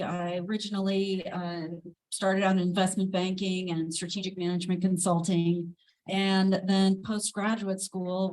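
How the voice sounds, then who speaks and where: Measured woman investor on a panel. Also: medium pitch, darkish tone, rough recording. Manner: measured, deliberate explanations. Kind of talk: panel discussion. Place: event space with a live audience.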